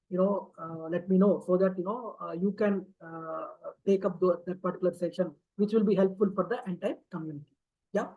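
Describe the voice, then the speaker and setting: A man hosts an online session, talking haltingly with many fillers and tag questions. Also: high-pitched, warm tone. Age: 50s. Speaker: session host. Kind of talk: online talk to a community group. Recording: dull lo-fi audio.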